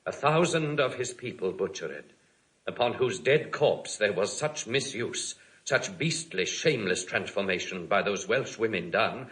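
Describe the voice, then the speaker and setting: A man's voice: fairly low pitch, expressive, a little slow, a bit bright, rough recording. An actor playing a dutiful nobleman in classical Shakespearean audio drama, delivering news in a grave, formal, declamatory manner. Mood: grave, urgent. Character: dutiful English nobleman reporting grim war news.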